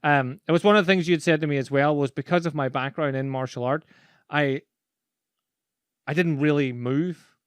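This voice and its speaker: A man's voice: a little high, moderate pace, clean tone, no room sound. An Irish man in casual conversation, telling stories animatedly and laughing as he talks. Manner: animated storyteller, laughs while talking. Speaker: podcast host and speaking coach.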